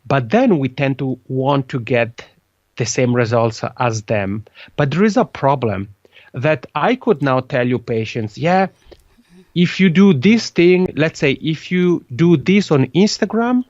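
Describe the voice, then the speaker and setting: A man with a Swiss Italian accent, Italian his first language, answers interview questions in a lively way, repeating words as he goes. His voice sits at a middle pitch, and the audio is dull and lo-fi, like a remote call, with a dry, close sound. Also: measured pace, no echo. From Italian-speaking Switzerland. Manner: lively, repeats words, restarts phrases often. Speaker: podcasting consultant guest. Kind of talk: conversational interview.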